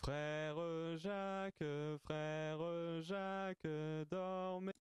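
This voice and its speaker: A man singing a simple nursery song in an amateur, deliberately clumsy way as a demonstration. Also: high voice, steady tone, clean tone.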